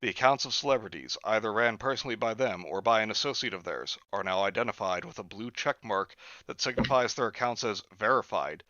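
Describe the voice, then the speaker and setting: A man hosting a live stream, reading a book aloud in a flat, mocking way and breaking off for sarcastic asides. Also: fairly low pitch, a little fast, hardly any pauses, no room sound, dull audio. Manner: sarcastic asides between read passages.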